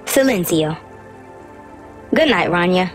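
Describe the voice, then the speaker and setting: An actress voicing an eager, chatty young child who is anxious to please, in a video game. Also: female voice, lowish voice, animated, slow, soft background music, low-quality recording. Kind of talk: video game character acting.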